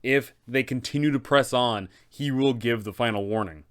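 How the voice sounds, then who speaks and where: A man's voice: mid-range voice, moderate pace, clean tone. A man hosting a game master guide, talking in an enthusiastic, casual way. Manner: enthusiastic, upbeat. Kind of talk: casual tutorial narration.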